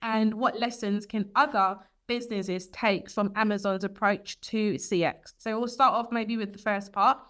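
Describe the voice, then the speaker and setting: A woman's voice: mid-range voice, fairly even intonation, normal speed, few pauses, fairly bright tone. A woman hosting a podcast interview, asking questions in a conversational style. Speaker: guest host interviewer.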